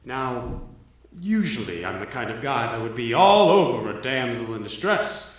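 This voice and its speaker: An actor playing a dim but self-assured wisecracking private detective in a theatrical live noir radio parody. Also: male voice, mid-range voice, very animated, normal speed, very noisy, lightly acted. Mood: musing narration. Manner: theatrical, slangy gumshoe patter. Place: on stage in a theater. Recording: echoey theater, distant mic, loud music.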